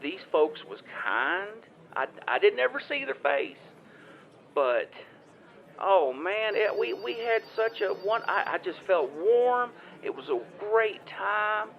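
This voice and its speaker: A man's voice: sits high, big pitch swings, slow pace, vocal fry, heavily acted. A man playing a folksy small-town caller, a former football player, who stammers and rambles in an improvised comedy phone call. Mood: dreamy, delighted.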